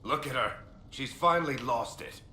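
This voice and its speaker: An actor playing a defensive, sarcastic rogue in a video game, speaking heatedly. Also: male voice, mid-range voice, expressive, moderate pace, lightly acted. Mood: exasperated. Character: defensive, indignant roguish liar protecting a friend. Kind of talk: video game character acting. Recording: roomy echo, faint noise.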